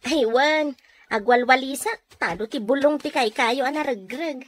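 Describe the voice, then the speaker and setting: A high-pitched, busy Ilocano neighbor. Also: female voice, normal speed, no room sound. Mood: brisk, impatient. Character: busy, house-proud neighbor woman, firm and industrious. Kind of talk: radio comedy acting.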